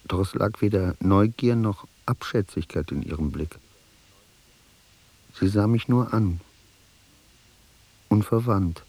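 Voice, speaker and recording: An actor playing a reflective man who narrates an uneasy reunion in a literary radio play, measured and sombre. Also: on the low side, a little mellow, breathy edge, full character voice. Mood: measured, observant.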